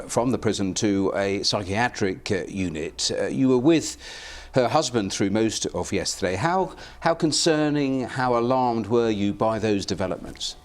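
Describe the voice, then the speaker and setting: A man presenting a television news interview in a studio, measured and filling pauses with "uh". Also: fairly low pitch, darkish tone. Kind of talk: broadcast interview.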